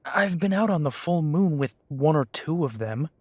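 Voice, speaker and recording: A man's voice: fairly high pitch, lively intonation, moderate pace, a little mellow, dry recording. An actor playing a defiant young male werewolf who answers the council with dry, mocking politeness. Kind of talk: audio drama acting.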